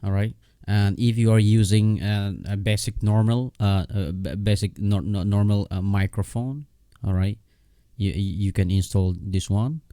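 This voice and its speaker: A man giving a casual, unscripted software tutorial in a halting, repetitive way, often checking in with his listener. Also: on the low side, steady tone, dry recording, studio quality.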